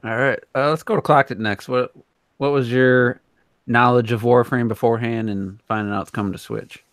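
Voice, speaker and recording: A man's voice: mid-range voice, steady tone, moderate pace, fairly bright tone, no echo, clean studio sound. A man hosting a casual gaming podcast discussion, talking informally. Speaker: podcast host.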